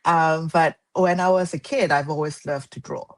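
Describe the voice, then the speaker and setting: Woman in her thirties from Malaysia, low voice, unhurried guest answering questions. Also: fairly even intonation. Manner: soft-spoken, thoughtful, frequent "um" pauses. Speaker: guest artist. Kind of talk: casual interview.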